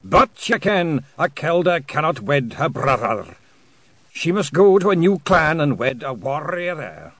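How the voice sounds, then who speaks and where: A solemn little Scottish pixie bard, speaks with courteous pride. His Rs roll richly and his words come out slow and careful, lifted high and swinging widely in pitch. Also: very animated, a bit bright, dry recording, heavily acted. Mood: courteous, firm. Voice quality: rolled drum-roll Rs. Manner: clear, slow, careful speech. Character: small clan bard, polite, solemn, proud pixie man.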